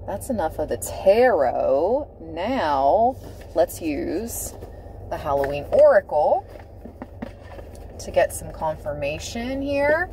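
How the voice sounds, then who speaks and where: Slow, mellow-voiced woman reading tarot cards. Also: fairly low pitch, slight background noise, low-quality recording. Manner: slow, dramatic, emphatic delivery with repeated phrases. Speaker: tarot reader. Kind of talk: tarot card reading to camera. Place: inside a parked car.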